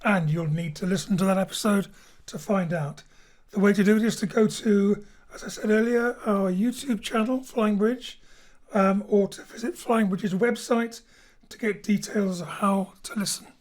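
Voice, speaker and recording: A woman's voice: medium pitch, fairly even intonation, normal speed, a little mellow. A woman hosting a remote chat show, teasing and upbeat as she questions guests. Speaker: host.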